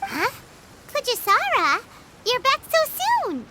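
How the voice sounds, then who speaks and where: A woman voicing a tiny, childlike, excitable fairy companion with a squeaky voice in a video game. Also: takes it slowly, slight background noise, exaggerated voice. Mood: surprised. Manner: childish, speaks of self in third person.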